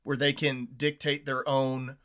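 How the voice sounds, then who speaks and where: A man's voice: medium pitch, level tone, a little slow, fairly bright tone. A man hosting a podcast, talking in a candid, opinionated and conversational way.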